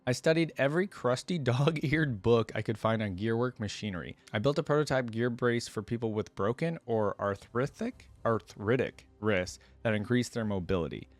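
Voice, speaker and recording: A man's voice: mid-range voice, normal speed, few pauses, no room sound, close to an everyday voice. A man reading a first-person story as a downcast, bitter young male inventor from a smoggy slum, in a plain, resigned manner.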